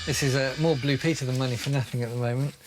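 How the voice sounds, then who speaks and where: A man's voice: mid-range voice, steady tone, fast talker. A man explaining his craft work aloud, unscripted, with dry, self-deprecating humour. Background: music.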